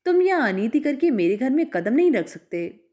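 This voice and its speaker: A woman's voice: sits high, big pitch swings, normal speed, dark tone. A woman voicing a proud, stern old Brahmin father who scolds harshly in a storybook reading.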